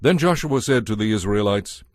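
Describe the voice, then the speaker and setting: Low-pitched man narrating scripture solemnly. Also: expressive, normal speed, no echo, clean studio sound. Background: background music.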